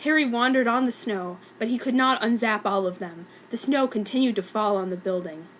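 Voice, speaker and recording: A woman's voice: on the high side, brisk, fairly bright tone, a little hiss or hum, rough recording. A woman giving a dramatic, mock-serious reading of a scripted story as narrator. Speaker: reader of a fan story.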